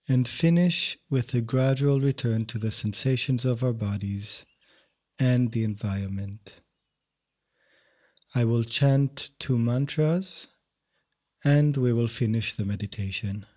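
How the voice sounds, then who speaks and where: A man teaching yoga nidra, guiding a meditation in gentle, measured, unhurried phrases. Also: mid-range voice. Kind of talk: guided meditation instruction.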